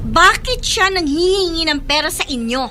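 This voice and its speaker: A woman's voice: very high-pitched, lively intonation, moderate pace. A young woman acting a headstrong, wounded teenage daughter who demands the truth, in a Filipino radio drama. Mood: suspicious.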